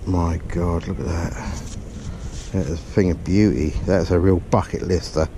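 A man with an excitable, chatty manner, giving running commentary to viewers while metal detecting on a beach, recorded on a body-worn camera outdoors. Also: low voice, moderate pace. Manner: excitable, swears when thrilled, chatty asides to viewers. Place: outdoors on a pebble and sand beach at dusk. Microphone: body-worn action camera. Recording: outdoor beach recording with clear background noise.

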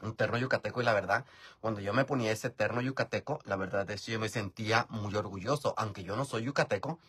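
A middle-aged Mexican man with a lowish, slightly husky voice tells a long, meandering story, full of fillers and casual swearing. He talks straight into a close phone camera in a room at home. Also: quick pace, fairly bright tone. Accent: Mexican, from Michoacán. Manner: chatty, rambling, frequent fillers, swears casually. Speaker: drag performer vlogger. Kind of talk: casual storytelling while doing makeup. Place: bedroom at home, indoors.

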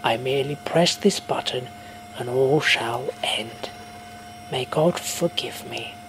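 Man acting a slow, gravelly, solemn doctor. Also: mid-range voice, poor audio. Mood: grave resignation. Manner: slow, grave, measured, sometimes hushed. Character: solemn, burdened male doctor recording a last message. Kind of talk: audio drama monologue. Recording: faint hum behind voice.

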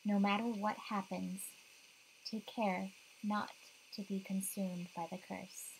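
A woman narrating a gothic haunted mansion tale in a solemn, hushed, deliberate way, like a dramatic game prologue. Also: mid-range voice, little pitch movement, creaky, a little hiss or hum, low-quality recording. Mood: solemn, ominous.

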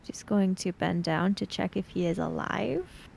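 A woman playing a tabletop role-playing game, narrating her character's actions in a hesitant, halting way. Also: lowish voice, vocal fry, a little mellow, slight background noise.

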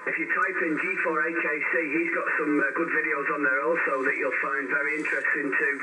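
Noisy radio, higher-voiced man. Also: steady tone, a little fast. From England. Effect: radio transmission. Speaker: amateur radio operator. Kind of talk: casual ham radio contact. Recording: very noisy, distant shortwave radio signal.

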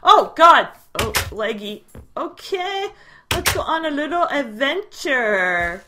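Jumpy young Canadian woman, casual gameplay chat, bright tense tone, light background hiss. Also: high voice, sing-song, slow. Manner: jumpy, squealing exclamations and chatty asides. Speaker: gaming video creator.

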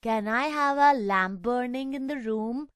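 A man's voice: very high-pitched, unhurried, a bit bright, smooth, no echo, clean studio sound, voice pushed up. A man voicing a frightened young schoolboy who pleads anxiously with his father. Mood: pleading.

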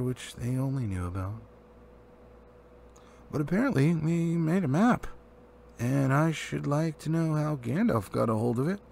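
A man's voice: medium pitch, creaky, a little mellow. A man voicing a grave, proud old male dwarf leader in a solemn, formal manner for a storybook. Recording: faint background noise.

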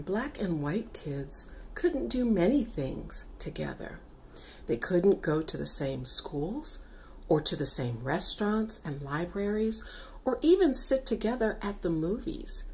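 Slow, expressive woman reading a storybook. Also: fairly low pitch, a little hiss or hum. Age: middle-aged. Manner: deliberate, expressive phrasing for young listeners. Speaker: author reading own picture book. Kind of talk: read-aloud children's story narration.

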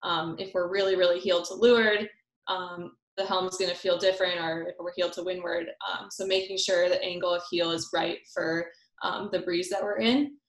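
A woman's voice: fairly low pitch, steady tone, moderate pace. A young woman talks through slides in an online sailing seminar, casual and full of fillers. Her voice sounds roomy, with echo around it on the call. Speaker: presenter. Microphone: video call.

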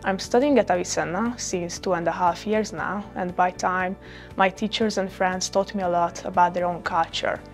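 Hungarian teen girl, upbeat, talks about her school, little music underneath. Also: mid-range voice. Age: teens. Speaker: student. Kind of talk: prepared self-introduction. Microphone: lapel mic.

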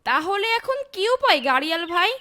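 A caring village mother from a fairytale cartoon. Her voice is very high and bright. She speaks politely and with expression. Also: sing-song, brisk, full character voice. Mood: gentle.

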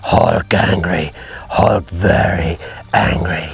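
A man's voice: sits low, fairly even intonation, slow, pitched below the performer's own voice, exaggerated voice. A man voicing a huge, angry, simple-minded brute who roars and talks in broken grammar. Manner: broken grammar, gruff roaring. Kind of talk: toy play voice acting.

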